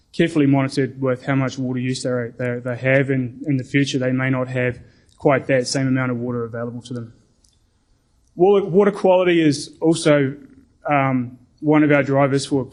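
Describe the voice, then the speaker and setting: A middle-aged man with a New Zealand accent giving a conference presentation, talking deliberately with frequent ums and repeated words. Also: mid-range voice, darkish tone. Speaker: conference speaker.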